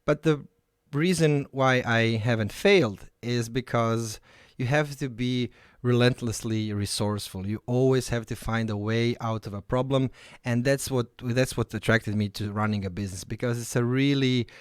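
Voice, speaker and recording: A man in his thirties from Croatia speaks with a Croatian accent in an informal founder's talk to camera. His voice sits at an average male pitch, and the recording is dry with very little room sound. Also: normal speed, clean tone, no room sound. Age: 30s. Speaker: company founder and CEO.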